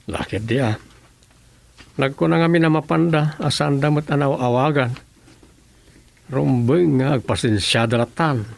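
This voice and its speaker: An Ilocano man in a radio comedy drama, a practical townsman full of small schemes. His mid-pitched voice has a gravelly creak as he chats in an everyday, conversational way. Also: measured pace, long pauses. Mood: conversational, grumbling.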